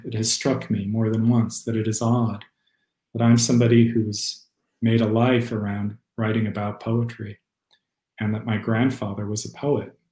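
A man's voice: medium pitch, mellow. Young man, poet, video call, roomy echo, hesitant thoughtful speech. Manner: thoughtful, hesitant with many fillers. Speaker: poet and critic, guest. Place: home room. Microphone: video call webcam.

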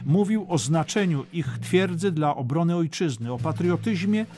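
Higher-pitched male history narrator over music. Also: lively intonation, normal speed, a little mellow. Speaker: audiobook narrator. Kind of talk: scripted dramatic history narration.

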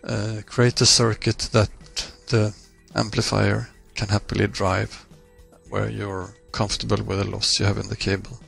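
Gravelly low-voiced man explaining software. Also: level tone, a little slow, faint music behind. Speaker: software tutorial presenter. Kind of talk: screencast software walkthrough, explanatory.

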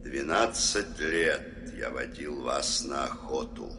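A man playing an old, dignified wolf pack leader in an animated film, weary, solemn and measured. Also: rumbling low, exaggerated voice. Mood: solemn, resigned. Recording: noisy old film soundtrack.

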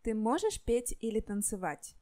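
A native Russian-speaking woman teacher reading clear practice questions to learners. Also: a little high, very animated, normal speed, dark tone. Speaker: language teacher. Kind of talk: scripted lesson questions.

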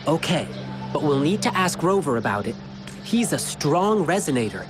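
A man playing an earnest, friendly young lion dancer in a video game, speaking in an expressive, reassuring way. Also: a little high, moderate pace. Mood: concerned, reassuring. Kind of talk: video game character dialogue. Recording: game music behind the voice. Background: game music.

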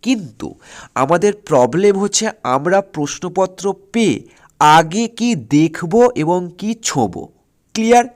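A man with a high-pitched voice and a West Bengal Bengali accent gives an informal exam lesson. He explains each step instructively, restates key points and punctuates them with short check-in questions. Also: animated, a little slow. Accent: Indian Bengali, West Bengal. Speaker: exam-prep history teacher on an online channel.

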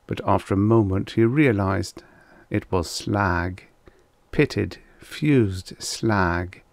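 A man reads a science fiction audiobook in a low voice with a creaky edge. His delivery is measured and deliberate, and the sound is very dry and close. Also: a little mellow, no echo. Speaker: audiobook narrator. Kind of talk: scripted narration of vintage science fiction story.

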